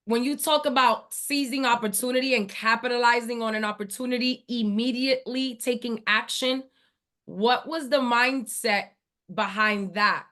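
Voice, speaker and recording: A woman's voice: fairly high pitch, fairly bright tone. A woman hosting an online training call, talking with enthusiasm and building hype in an emphatic way.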